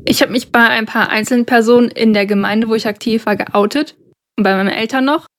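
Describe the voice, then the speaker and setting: A young woman co-hosts a podcast conversation. Her voice sits a little above average in pitch. She speaks earnestly and carefully. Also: fairly even intonation, a little fast. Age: young adult. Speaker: podcast co-host.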